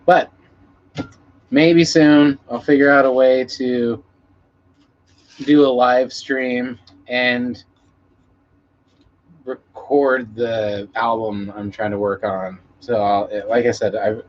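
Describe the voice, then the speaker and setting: A man hosting a casual live stream, chatting with viewers in a laid-back, rambling way and swearing freely. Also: fairly low pitch. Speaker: musician. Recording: muffled lo-fi stream audio with background noise.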